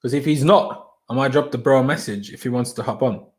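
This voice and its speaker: A man's voice: medium pitch, a little fast. A man from Austria hosting a casual late-night live stream, chatting loosely, reading out viewer comments and calling them bro.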